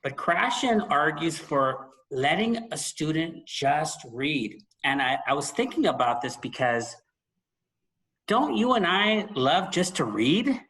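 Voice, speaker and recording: A man hosting a conversational podcast about books, speaking emphatically and pausing for dramatic effect. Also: on the high side, very animated, fairly bright tone. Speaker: podcast host. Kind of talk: conversational book discussion.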